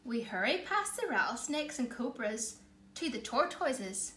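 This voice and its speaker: A woman in her thirties reading a picture book aloud to children, expressive and emphatic, recorded in a living room. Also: a little high, unhurried. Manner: theatrical, emphatic. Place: small living room, indoors. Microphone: camera or phone a short distance away. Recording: echoey room, faint background noise.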